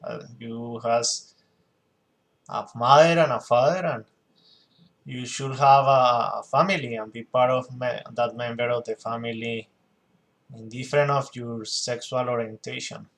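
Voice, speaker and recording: A man from Colombia with a Colombian accent speaks English as his second language. He talks at a very slow, deliberate pace and keeps breaking off to search for words. He often restarts a phrase before finishing it. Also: medium pitch, steady tone, a bit bright. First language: Spanish. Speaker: commentator reacting to a clip. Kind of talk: reaction commentary.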